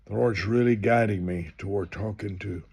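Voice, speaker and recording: An older man in his seventies speaks in a somewhat low, husky voice. He is a minister announcing a live stream, wandering from point to point in an unhurried, conversational delivery. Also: darkish tone. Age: 70s.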